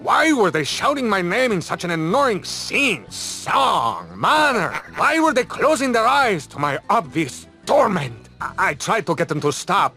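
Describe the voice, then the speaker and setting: An actor playing a pompous, wounded champion diver in a video game, telling things in florid, theatrical style. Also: male voice, very high-pitched, fairly bright tone, heavily acted.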